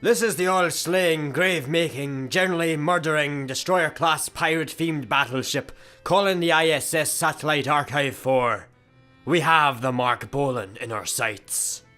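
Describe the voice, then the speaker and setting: A man's voice: on the high side, moderate pace, bright, forceful tone, soft background music. A man playing a menacing yet matter-of-fact space pirate with a grandiose, announcing manner in a comedy radio play.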